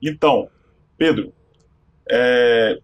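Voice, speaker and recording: A man's voice: medium pitch, extremely slow. A man hosting a podcast, introducing guests in a casual, conversational way. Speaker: podcast host.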